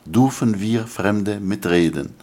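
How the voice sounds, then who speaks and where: An older man speaks, his voice sitting very low. He answers like a lecturing scholar, earnest and halting, often pausing on fillers as he builds his point. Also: a little mellow. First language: Arabic. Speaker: guest scholar. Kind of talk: interview answers.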